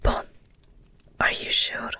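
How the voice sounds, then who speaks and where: A woman playing a devoted, guilt-ridden werewolf girlfriend in an intimate audio roleplay, speaking earnestly and haltingly in a breathy whisper. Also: pushed and bright. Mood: anguished, protective. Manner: hesitant, halting, earnest, tender.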